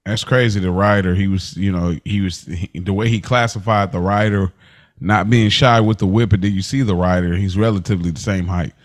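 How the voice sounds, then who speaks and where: A man's voice: sits low, fairly even intonation, brisk. A man hosting a podcast, chatting casually about a TV episode in a thoughtful, roundabout way. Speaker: podcast host. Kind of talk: casual TV recap chat.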